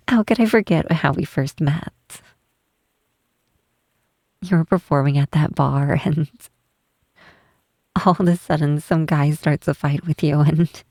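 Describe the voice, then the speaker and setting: A woman voicing a tall, shy, wistful half-troll cleric in a soft, hesitant ASMR roleplay, close to the microphone. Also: sits low, dry recording, full character voice. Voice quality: soft, breathy. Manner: hesitant, halting, tender. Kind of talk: ASMR roleplay, intimate softly spoken acting. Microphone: close microphone, dry.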